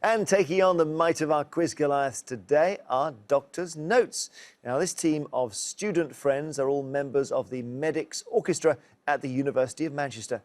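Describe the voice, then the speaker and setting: A middle-aged man hosting a television quiz show, brisk and jokey as he reads out the questions. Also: on the high side, a little mellow.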